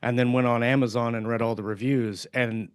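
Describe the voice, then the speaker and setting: A male podcast co-host chats in a casual, conversational way. His voice sits at a middling pitch, and the sound is very dry. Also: fairly even intonation, brisk, smooth, no room sound. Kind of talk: casual book discussion.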